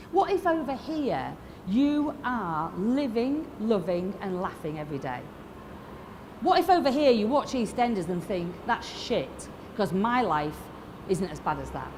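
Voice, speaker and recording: A woman in her fifties with a Yorkshire accent, giving a blunt, lively motivational talk to a live audience. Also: medium pitch, measured pace, a little hiss or hum. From Lancashire, England. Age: 50s. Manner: lively, blunt and swearing, asks the crowd questions. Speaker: motivational speaker. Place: expo stage before a live audience.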